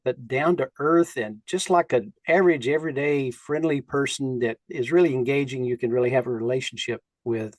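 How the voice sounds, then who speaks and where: A man co-hosting a conversational podcast, explaining his thoughts in a measured, reflective way. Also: medium pitch, a little mellow, no room sound. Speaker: co-host.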